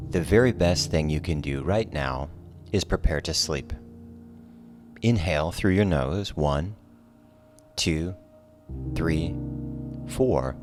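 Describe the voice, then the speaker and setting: Calm guy yoga teacher, low voice, real slow soothing cues, guitar music behind. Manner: calm, soothing, short measured cues with counted breaths. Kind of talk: guided relaxation yoga instruction. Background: soft guitar music.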